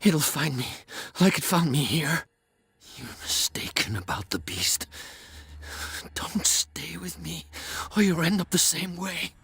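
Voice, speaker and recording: A man playing a blinded, half-mad, terrified prisoner in a video game, with a raspy, gravelly voice and a feverish delivery that lurches from whispers to cries. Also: a little high, expressive, a little slow, fairly bright tone, heavily acted. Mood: fearful, warning. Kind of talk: dramatic video game voice acting.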